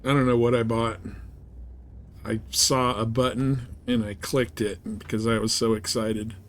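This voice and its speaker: A man's voice: medium pitch, little pitch movement, measured pace, slight background noise. A man livestreaming a game, chatting casually and thinking aloud in a rambling way. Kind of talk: casual gameplay stream chat.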